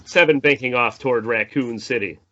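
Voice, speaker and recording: A man's voice: mid-range voice, a little fast, sharp tone. A man narrating the stage directions of a screenplay in an informal table read. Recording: dull lo-fi audio with faint noise.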